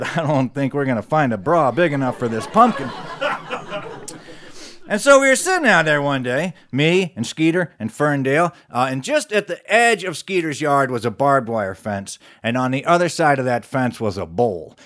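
A man telling a tall tale live in a folksy, conversational way, with animated asides to his listeners. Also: medium pitch, normal speed, few pauses. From West Virginia, United States. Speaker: storyteller. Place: recorded live in a studio.

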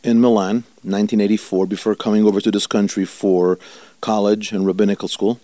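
A man in his fifties, an Italian-born rabbi whose first language is Italian, giving earnest, measured interview answers and drawing out key words slowly. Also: lowish voice, flat delivery, dark tone, no echo. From Italy. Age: 50s. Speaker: rabbi, guest.

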